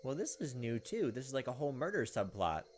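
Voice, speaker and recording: A man's voice: mid-range voice, sing-song, quick pace, darkish tone, slight background noise. A man doing casual let's play commentary, moving between reading visual novel text aloud and giving his own reactions. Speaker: gaming video host.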